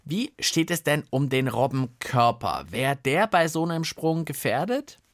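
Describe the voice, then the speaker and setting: A man's voice: fairly high pitch, lively intonation, a little slow, no room sound, clean studio sound. A man co-hosting a children's science podcast in an inquisitive, colloquial, chatty way. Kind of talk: conversational radio presenting, casual chat.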